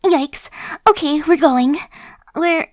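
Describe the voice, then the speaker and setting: A woman's voice: high voice, takes it slowly, dry recording, studio quality. A woman playing a chatty, sly young female zombie in an ASMR roleplay, talking in a slangy, cajoling, buddy-like way. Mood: nervous, placating. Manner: casual slangy wheedling, buddy-like.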